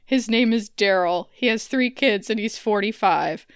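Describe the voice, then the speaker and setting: A woman's voice: medium pitch, normal speed, clear, resonant tone, no room sound, studio quality, natural voice. A woman reading the part of a naive, defiant sixteen-year-old girl with an exasperated whine, in a parody table read of a TV family drama. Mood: gushing, excited.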